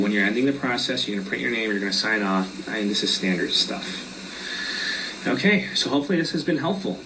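A man's voice: medium pitch, pushed and bright, distant mic, a little hiss or hum, low-quality recording. A man who is losing his voice, explaining loan and tax forms step by step in a casual explainer video.

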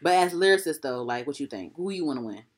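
A woman's voice: low voice, lively intonation, fast talker. A young woman co-hosting a podcast, chatting animatedly about music and often repeating herself. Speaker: podcast co-host. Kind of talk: casual chat about music.

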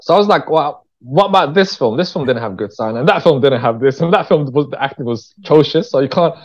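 A man's voice: on the high side, lively intonation, fast talker. A British man telling stories as an interview guest in a casual, candid, slangy way. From England. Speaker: interview guest, writer-director. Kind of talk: casual conversational storytelling. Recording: dull, lo-fi voice recording.

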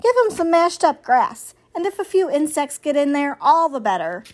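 A woman's voice: high-pitched, big pitch swings, moderate pace, voice pushed up. A woman voicing a brusque old female goose in a storybook, squawking and matter-of-fact. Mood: matter-of-fact advice.

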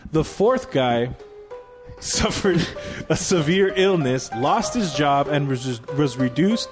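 A man's voice: fairly high pitch, lively intonation, takes it slowly, music in the background. A man and podcast co-host giving a dramatic reading of a story, with joking asides. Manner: hammy storyteller voice, breaks into laughs.

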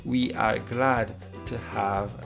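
Podcast host man, gravelly voice. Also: mid-range voice, steady tone, slow pace, fairly bright tone, faint music behind. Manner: reads a formal introduction, then asks questions. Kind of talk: interview.

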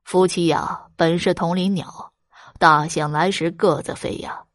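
A woman voicing a reluctant old male Taoist priest who speaks in a measured, sighing way. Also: lowish voice, fairly even intonation, no room sound, studio quality, lightly acted.

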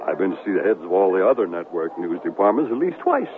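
A man's voice: low-pitched, sing-song, fast, a crowd in the background. An actor playing a vain, wheedling, self-pitying television newsman, theatrical and boastful, in a 1970s radio drama. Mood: aggrieved, pleading. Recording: tinny, hissy 1970s radio broadcast.

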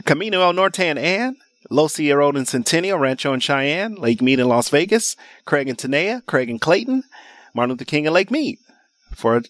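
A man's voice: on the high side, sing-song, moderate pace, a bit bright, dry recording. A man hosting a live radio shopping show from a studio, rattling off deals in an upbeat sales patter and repeating the call-in number. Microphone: studio microphone.

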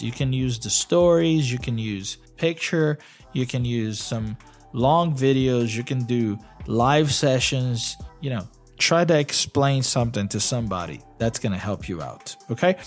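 Brazilian man coaching casually, faint music. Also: mid-range voice. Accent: Brazilian Portuguese. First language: Portuguese. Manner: coaching, conversational, many fillers like "you know". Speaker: podcast host and English teacher. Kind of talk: casual solo podcast talk.

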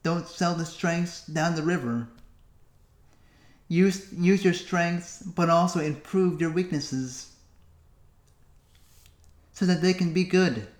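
A man from the United States hosting a solo podcast, musing to himself with long pauses. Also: fairly high pitch, echoey room. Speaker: podcast host. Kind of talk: solo reflective podcast monologue.

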